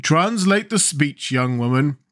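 A male narrator plays a pumpkin-headed man from a storybook, drawn out and slow, pitched a bit high. He is naive and slow-witted, speaking with simple, deferential earnestness. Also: lively intonation, fairly bright tone. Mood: indignant, surly. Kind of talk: storybook character voice.

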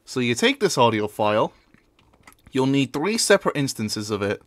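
A man talks through a software tutorial in a casual, offhand manner. His voice is recorded dry and close, with hardly any room around it. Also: mid-range voice, big pitch swings, moderate pace, dry recording. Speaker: tutorial presenter.

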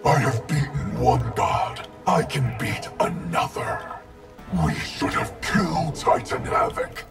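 A man voicing a grim, proud wraith ninja in a video game, gravelly and slowly threatening. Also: mid-range voice. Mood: cold menace. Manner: slow, deliberate, threatening delivery. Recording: music playing behind.

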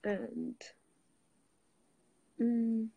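A woman's voice: a little high, extremely slow, no room sound, narrow, tinny audio. A young woman from Switzerland, first language Italian, chatting casually with viewers on a phone livestream while lying on a bed. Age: young adult. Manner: greets viewers, switches languages, relaxed and chatty. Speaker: livestream host. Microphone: phone held at arm's length.

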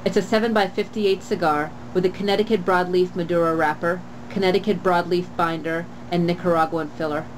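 Calm low-voiced woman reviewing cigars. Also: a little hiss or hum, low-quality recording. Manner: calm, measured, with frequent "uh" pauses. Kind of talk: product review to camera. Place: screened porch outdoors, trees behind.

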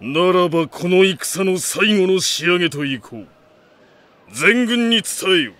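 An actor playing an arrogant, mighty warlord in an anime drama CD, with an imperious, scornful and grandiose manner. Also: male voice, high voice, animated, a little slow, a bit bright. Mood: imperious, grave. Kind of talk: anime game drama CD acting. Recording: music behind the voice.

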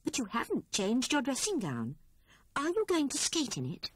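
Woman playing little female storybook rabbit: kindly, housewifely, British, high swooping pitch. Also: wildly swooping pitch, normal speed, husky, no room sound, clean studio sound, exaggerated voice. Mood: chiding. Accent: British English.

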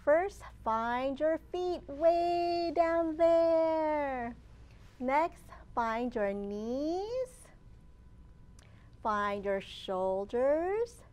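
A woman with a high voice leads storytime for small children. She speaks very slowly, in short, encouraging phrases, as a children's librarian does. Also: animated, darkish tone. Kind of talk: children's storytime hosting and read-aloud. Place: indoors in a library children's area.

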